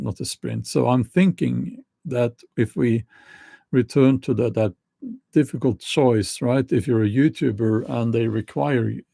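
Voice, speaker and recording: A middle-aged man with a Norwegian accent talking casually to camera, slow and halting with many restarts. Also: mid-range voice, gravelly, mellow, dry recording, clean studio sound. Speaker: YouTuber.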